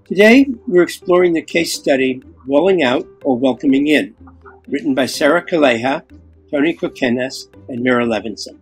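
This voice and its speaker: An older man in his seventies hosts a podcast, reading a prepared introduction. He speaks at a middle pitch from a room at home, with guitar music behind him. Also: normal speed, husky, rough recording. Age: 70s. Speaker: podcast host. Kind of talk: scripted introduction, read out. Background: plucked guitar music.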